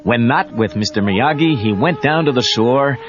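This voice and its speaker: Lively male storybook narrator over music. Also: medium pitch, normal speed. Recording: dull cassette tape under music.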